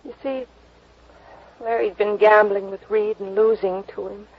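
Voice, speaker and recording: An actress playing a vulnerable, pleading woman in a classic radio melodrama, with halting, emotional delivery. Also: medium pitch, steady tone. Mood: sorrowful. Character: anguished young woman in trouble, pleading and vulnerable. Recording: muffled old radio recording.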